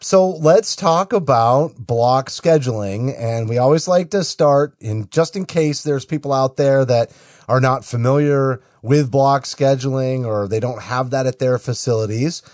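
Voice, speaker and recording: A man's voice: mid-range voice, unhurried, darkish tone, dry recording. Man, podcast host, from Maine, conversational, dry close-mic sound. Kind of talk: podcast interview.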